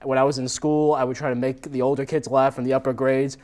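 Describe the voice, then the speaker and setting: A man's voice: medium pitch, level tone, fast, a little mellow. An American man from New Jersey giving an informal talk as a guest speaker, telling stories with self-deprecating animation. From New Jersey, United States. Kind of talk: informal autobiographical talk to a meetup audience.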